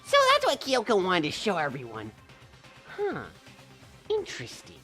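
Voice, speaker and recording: A woman's voice: lowish voice, huge pitch swings, moderate pace, fairly bright tone, faint music behind. A woman voicing a gleeful, mischievous mascot in an anime game fan dub, theatrical and mock-polite. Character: gleeful mischievous mascot running a deadly trial.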